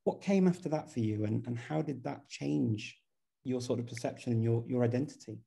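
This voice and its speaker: A man hosting a relaxed interview. His voice is deep-toned and mellow, with a gravelly fry running through it. He asks long, friendly questions and often stumbles and restarts mid-phrase. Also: normal speed. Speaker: host. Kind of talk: conversational interview.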